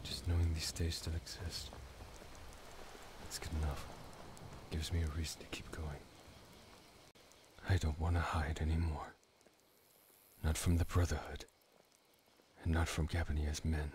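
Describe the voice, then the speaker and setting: A man's voice: very deep voice, flat delivery, measured pace, pauses often. An actor playing a weary former killer and devoted father in a video game, subdued and reflective. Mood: tender, resigned. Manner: subdued, reflective, at times near whispering. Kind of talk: dramatic game dialogue. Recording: noticeable background noise.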